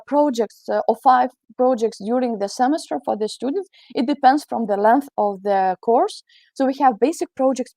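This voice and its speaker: A woman's voice: on the high side, mellow, no room sound. A woman with a Lithuanian accent giving a conference talk as a university lecturer, hesitant and full of fillers and restarts. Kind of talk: conference presentation.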